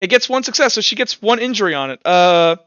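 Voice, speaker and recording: A man's voice: high-pitched, expressive, fast talker, fairly bright tone, clear, resonant tone, dry recording, dull audio. A young man running a tabletop roleplaying game as game master, talking informally and thinking aloud as he narrates.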